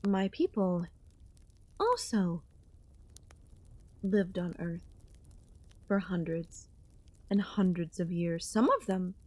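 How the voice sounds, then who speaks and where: A woman voicing an ancient, possessive alien queen in an ASMR audio roleplay, speaking with soft, teasing menace and drawn-out pauses. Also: lowish voice, expressive, no echo. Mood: solemn, regal. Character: ancient yandere alien queen, last of her kind, condescendingly affectionate.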